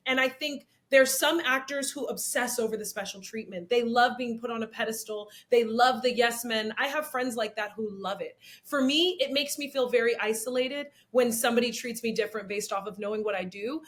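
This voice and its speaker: Young woman, kinda raspy, pretty high voice, chatty and laughy, acts out quotes in an interview. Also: sharp tone. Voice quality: slightly raspy, low-set voice. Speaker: actress and comedian, interview guest. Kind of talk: candid interview conversation.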